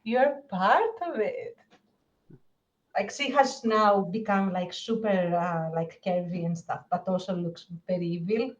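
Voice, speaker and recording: A woman co-hosting a podcast, describing scenes casually in a halting, informal and expressive way. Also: lowish voice, dull audio. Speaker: podcast co-host.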